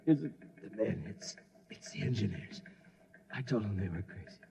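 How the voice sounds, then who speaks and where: A desperate, wounded mechanic near death in an old radio play. His dark, soft-edged voice comes out weak and gasping on a muffled recording. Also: lowish voice, lively intonation, moderate pace, creaky. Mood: fading, distraught. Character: dying, gunshot union mechanic, male, desperate. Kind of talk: old-time radio drama acting.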